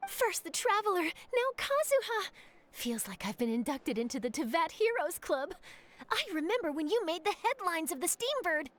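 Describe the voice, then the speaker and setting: Eager, very high-voiced young reporter. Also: female voice, sing-song, normal speed. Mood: excited. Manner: enthusiastic, inquisitive. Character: eager, bubbly young female news reporter. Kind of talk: anime game voice acting. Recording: soft game music behind.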